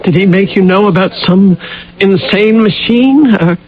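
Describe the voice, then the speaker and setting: An actress playing a dazed, haunted woman pilot after a crash in a radio drama, with halting delivery. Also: mid-range voice, close to an everyday voice. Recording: tinny old radio broadcast.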